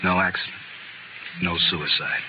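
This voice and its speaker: Man acting a low-voiced, sardonic gunfighter. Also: steady tone, moderate pace, natural voice. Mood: subdued, frank. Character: sardonic, cool-headed gunfighter, loyal friend. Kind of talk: 1950s radio western acting. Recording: dull, very noisy old radio recording.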